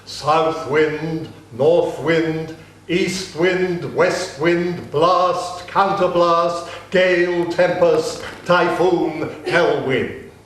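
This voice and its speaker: A man giving a solemn, deliberate narration in a dramatic reading of an ancient epic. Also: on the high side, fairly even intonation, fairly bright tone. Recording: very echoey room with faint noise.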